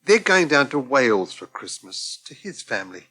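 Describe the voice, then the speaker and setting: A man's voice: mid-range voice, very animated, normal speed, pushed and bright. An actor playing a kindly, lonely older Englishman in a radio soap, genteel, polite and solicitous. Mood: confiding, tentative. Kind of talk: British radio soap acting.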